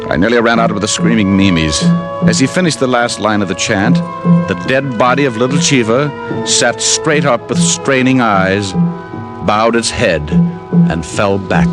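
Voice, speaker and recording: A man acting out a wry, hard-boiled private eye narrating a vintage radio mystery. His voice is fairly low and dry, with steady, measured delivery and quick wisecracks. Loud dramatic music plays behind him. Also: natural voice, rough recording. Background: dramatic music score.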